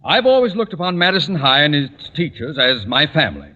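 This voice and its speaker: A pompous, blustering principal, animated and bright. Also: male voice, fairly high pitch, brisk, full character voice. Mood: stern, pompous. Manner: pompous oratorical delivery. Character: pompous, blustering middle-aged male school principal, easily flattered. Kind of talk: 1940s radio comedy acting. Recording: muffled old radio broadcast.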